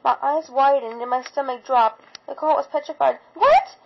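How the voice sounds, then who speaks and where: A woman's voice: sits high, steady tone, moderate pace, gravelly, lo-fi sound, a little hiss or hum. A woman reading a first-person fan fiction story aloud with dramatic narration.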